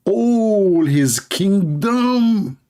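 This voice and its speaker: A man's voice: high-pitched, expressive, drawn-out words, dark tone. A man hosting a call-in debate show, talking in a sarcastic, rambling way. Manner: sarcastic, rambling, laughs at own jokes. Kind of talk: mocking call-in commentary.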